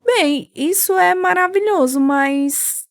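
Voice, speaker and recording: A woman's voice: very high voice, slow, creaky, no room sound, clean studio sound, full character voice. A woman playing a devout, loving mother in a radio drama, speaking earnestly and tenderly. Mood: surprised, caring. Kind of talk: scripted radio drama dialogue.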